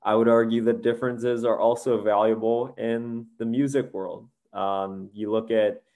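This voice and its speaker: A man's voice: medium pitch, steady tone, unhurried, dark tone. A man co-hosting a podcast, speaking thoughtfully and encouragingly in casual chat, with some hesitation. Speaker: podcast co-host.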